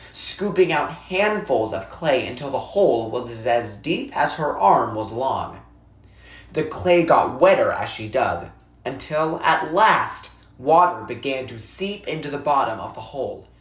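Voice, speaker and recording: An unhurried narrator reads a children's novel aloud in a roomy, echoing space. The pitch sits in a middle range. The delivery is expressive and deliberate, pausing for emphasis. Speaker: narrator reading a book aloud. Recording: roomy, echoey sound.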